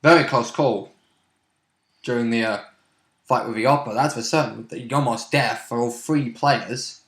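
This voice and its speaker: A man's voice: medium pitch, moderate pace, a bit bright. A man chatting casually as a player in a tabletop game, with wry interjections. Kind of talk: casual chat. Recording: echoey room.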